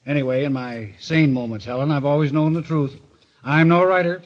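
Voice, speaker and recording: An actor playing a wisecracking, outwardly tender husband and police reporter in a golden age radio mystery, slangy, wry and affectionate. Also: male voice, a little high, fairly quick, lightly acted. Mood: dismissive, then tender. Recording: muffled, noisy vintage radio recording.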